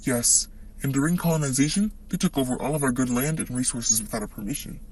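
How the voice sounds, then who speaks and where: A man's voice: mid-range voice, fast talker, darkish tone, close to an everyday voice. A man voicing an earnest native South African puppet, presenting scripted lines in an amateur puppet show. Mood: earnest, aggrieved.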